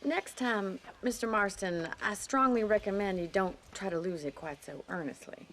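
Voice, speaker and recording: A woman's voice: mid-range voice, normal speed, a bit bright, a little hiss or hum, natural voice. An actress playing a spirited young American ranch woman in a video game, forthright, wry and playful. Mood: brisk, wry. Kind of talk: video game cutscene acting.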